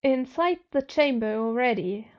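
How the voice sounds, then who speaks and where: A woman voicing a matter-of-fact, mildly disapproving woman expedition teammate in a story reading, casual and brisk. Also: fairly high pitch, animated, highs cut off.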